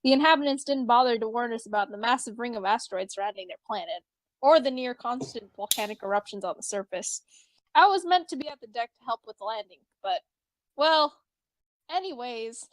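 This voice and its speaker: A woman plays an earnest alien man with a high voice and a dry, close sound. He rambles with awkward cheer and muddles his sayings, as in an amateur cartoon fan drama. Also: no echo, lightly acted, rough recording. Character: chatty, earnest alien man reporting awkwardly by video call. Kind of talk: fan fiction read as acted drama.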